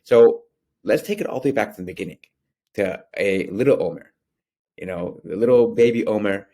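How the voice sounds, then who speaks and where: A man's voice: lowish voice, moderate pace, dark tone. A man in his thirties hosting a podcast over a video call, asking eager questions and rephrasing as he goes. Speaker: podcast host. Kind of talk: casual interview chat.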